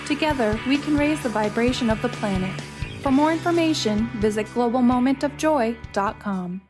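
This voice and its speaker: A woman's voice: on the high side, lively intonation, moderate pace. A woman reading scripted promotional narration as a radio advert announcer. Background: music bed.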